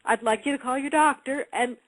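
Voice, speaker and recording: A woman's voice: sits high, brisk, no room sound, poor audio. A woman practitioner on a radio talk show, earnest and firmly stressing her points. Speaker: Reiki practitioner guest.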